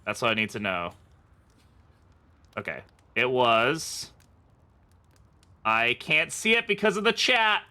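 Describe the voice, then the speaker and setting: A man's voice: medium pitch, wildly swooping pitch, slow, pauses often, bright, forceful tone, clear, resonant tone. A man with an American accent giving casual, chatty live game commentary, joking and muttering to himself as he talks to chat. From born in England, grew up in the United States. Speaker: game streamer.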